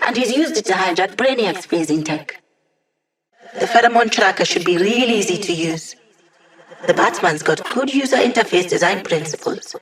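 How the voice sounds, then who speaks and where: A woman's voice: medium pitch, lively intonation, normal speed, a bit bright, natural voice. A woman voice actor playing an upbeat, wisecracking young woman hacker in a video game, casual, playful and teasing. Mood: focused, informative.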